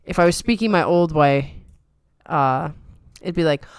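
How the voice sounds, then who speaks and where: A woman co-hosting a relaxed chat, with a lightly softened New York accent. Her delivery is chatty and playful but trained and controlled. The voice is smooth and resonant, recorded dry with no room sound. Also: deep voice, measured pace, dry recording. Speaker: podcast co-host. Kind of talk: casual chat.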